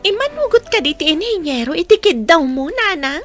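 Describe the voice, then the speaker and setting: A woman's voice: very high voice, very animated, fairly quick, a bit bright, soft background music, heavily acted. A woman playing an eager, determined young student daughter in an audio drama, in a girlish manner. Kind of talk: Filipino audio drama acting.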